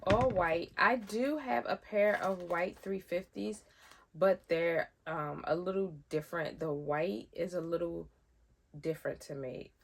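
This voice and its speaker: A woman vlogger, chatting casually through a sneaker unboxing, a little nasal from a head cold. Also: creaky. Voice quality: deep voice. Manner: chatty, rambling with fillers.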